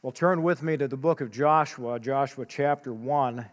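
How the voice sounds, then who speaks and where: A man leading a church service as pastor, praying and preaching in a measured way with frequent hesitant pauses. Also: medium pitch. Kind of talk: church announcement, prayer and sermon. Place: church sanctuary. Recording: long church hall reverb.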